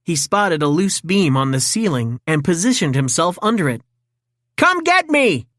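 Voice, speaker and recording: Lively storybook narrator woman, very dry sound. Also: low voice, normal speed, dry recording. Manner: expressive, dramatic read-aloud delivery. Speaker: children's story reader. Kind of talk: scripted storybook narration for children.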